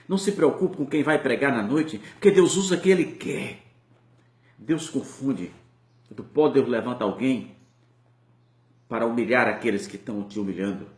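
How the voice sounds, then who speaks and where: A man's voice: medium pitch, animated, normal speed, pauses often. Male preacher, Brazilian Portuguese accent, fervent swelling delivery, echoey church sound. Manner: impassioned, rising and falling preaching. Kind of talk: sermon.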